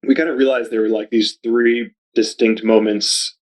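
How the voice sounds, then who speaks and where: A man's voice: mid-range voice, steady tone, moderate pace. A man speaking as a podcast guest in casual chat, rambling and upbeat, filling his talk with "like". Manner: rambling, heavy use of "like", upbeat. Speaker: podcast guest, video advertising researcher.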